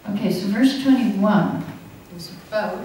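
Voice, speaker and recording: A woman's voice: mid-range voice, unhurried, mellow, a little hiss or hum. An older woman giving a chatty, anecdotal scripture class at a podium mic, often laughing as she teaches. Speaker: class speaker. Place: hall, indoors. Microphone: podium mic on stand. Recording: echoey hall, recorded from a distance.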